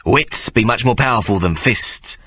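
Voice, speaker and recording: A man's voice: fairly low pitch, expressive, normal speed, pushed and bright, slightly rough, heavily acted. A man voicing a sly old uncle who speaks in a coaxing, drawling way with folksy grammar.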